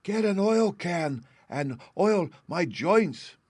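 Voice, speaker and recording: A man voicing a polite, grateful male tin woodsman in a storybook, in a high, strained, slow and sad tone. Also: expressive, darkish tone, no room sound, studio quality, full character voice. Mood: plaintive.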